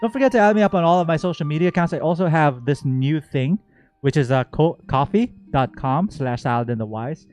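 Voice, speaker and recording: A man hosting a game stream, chatting casually and playfully, given to excited exclamations and rambling asides. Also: a little high, brisk. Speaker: streamer and host. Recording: music playing behind the voice. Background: game music.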